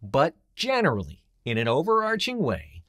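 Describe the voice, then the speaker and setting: A man's voice: fairly high pitch, wildly swooping pitch, moderate pace, a bit bright. A middle-aged man hosting a scripted advice video to camera, speaking in a blunt, emphatic, colloquial way. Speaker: host, voiceover coach and voice actor.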